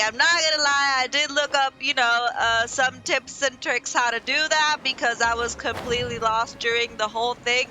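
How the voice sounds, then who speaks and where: A young woman casually commenting as she plays a video game, self-deprecating and rambling, yelling at the screen at times. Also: sits high. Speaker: gaming channel host. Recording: game music underneath.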